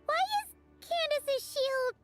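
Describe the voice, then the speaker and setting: A woman's voice: extremely high pitch, steady tone, takes it slowly, a bit bright, soft background music, full character voice. A woman voicing a small, childlike flying game companion, startled and blunt. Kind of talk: game voice acting.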